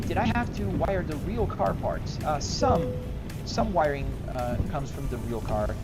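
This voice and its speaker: A man's voice: on the high side, unhurried, loud music behind the voice. A man from Brazil with a Brazilian accent, chatting casually on a live stream while driving a truck game and answering viewers. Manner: relaxed, rambling, answers chat questions between driving remarks.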